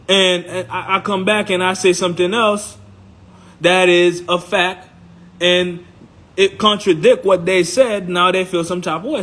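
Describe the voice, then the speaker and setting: Male live-stream mentor from Haiti, slightly high voice, blunt, emphatic motivational delivery with frequent repetition. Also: a bit bright. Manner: blunt, emphatic, repetitive, often checking with listeners.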